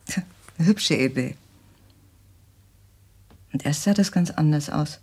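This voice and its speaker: A middle-aged mother in a radio drama, genteel and easily wounded. Her pitch swings widely and her voice has a slightly husky edge. She speaks theatrically, shifting between coy hesitation and agitation. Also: dark tone, full character voice. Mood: musing, wistful. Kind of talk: German radio play acting.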